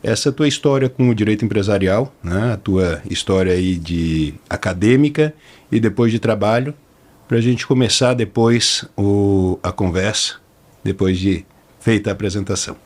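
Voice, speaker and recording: A man's voice: on the low side, normal speed. A man hosting a podcast interview, chatty and informal. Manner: chatty, informal, repeats words while thinking. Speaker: podcast host.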